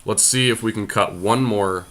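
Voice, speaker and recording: A man with a fairly low, clearly bright voice. He talks casually through a card game as a podcast host, reasoning aloud and settling each choice decisively. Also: normal speed. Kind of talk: casual deckbuilding commentary.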